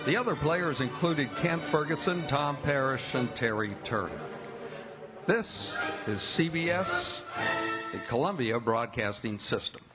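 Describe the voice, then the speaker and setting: A man's voice: mid-range voice, expressive, a little slow. A man announcing closing credits in a formal, declamatory 1940s radio style. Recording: loud music under the voice. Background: loud theme music.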